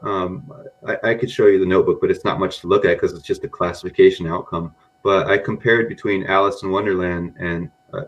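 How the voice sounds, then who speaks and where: A very low-voiced man with a loosely mixed American accent talks through ideas in a rambling, casual way, leaning on "you know" and "um". His audio is dull and lo-fi. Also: fairly bright tone. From grew up in Japan among Americans. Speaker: podcast guest, data scientist. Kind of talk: casual podcast interview.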